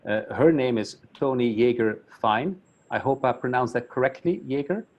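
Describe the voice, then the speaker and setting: Man, friendly halting introduction. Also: mid-range voice, lo-fi sound. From Belgium. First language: Flemish. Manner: friendly, self-deprecating, halting with repeats. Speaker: academic council chairman introducing a speaker. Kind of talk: webinar introduction. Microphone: video call.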